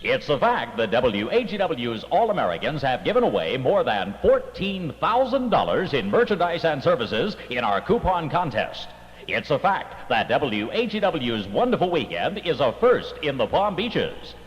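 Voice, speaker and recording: A man's voice: on the high side, big pitch swings, normal speed. Punchy 1960s radio promo announcer man. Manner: punchy, declamatory. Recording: lo-fi 1960s radio aircheck with reverb.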